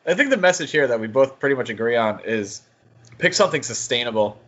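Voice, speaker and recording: A man's voice: mid-range voice, big pitch swings, fast talker, a bit bright. Dull-sounding man chatting on a podcast. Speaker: podcast co-host. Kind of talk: casual podcast chat.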